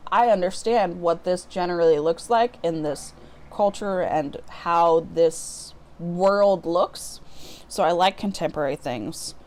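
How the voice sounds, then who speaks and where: A woman's voice: fairly low pitch, a little mellow. Chatty, rambling woman book vlogger. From US Midwest. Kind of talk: casual unboxing vlog chat.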